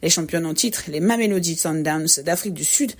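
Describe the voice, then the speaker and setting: Newsreader woman, lowish voice, steady formal delivery. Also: brisk, breathy edge. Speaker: news presenter. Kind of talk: read sports news bulletin.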